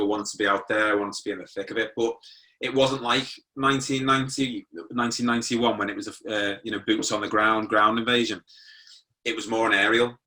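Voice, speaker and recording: A British man in his forties with a low-set voice and a bright, forceful edge. He tells his story informally, as a guest would in an interview, and sits a little way from the microphone. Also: far from the mic, poor audio. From born in Germany, moved to the UK at nine. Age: 40s. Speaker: interview guest, motivational speaker.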